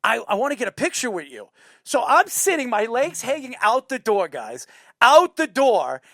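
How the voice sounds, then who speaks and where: A man telling stories in casual chat as a talk show host, excitable, repeating himself and swearing now and then. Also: very high-pitched, moderate pace, no echo, studio quality. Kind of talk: casual chat, animated storytelling.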